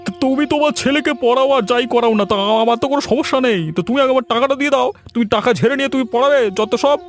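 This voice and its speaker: A man's voice: very high voice, steady tone, rapid-fire, voice pushed up. A man voicing a demanding, heartless village tutor in a cartoon, scolding and sneering impatiently. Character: demanding, heartless male village tutor chasing his fees. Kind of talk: cartoon story voice acting.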